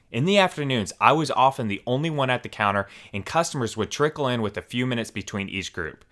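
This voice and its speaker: A man hosting a story channel, reading forum posts aloud in a steady, even storytelling manner. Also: on the low side.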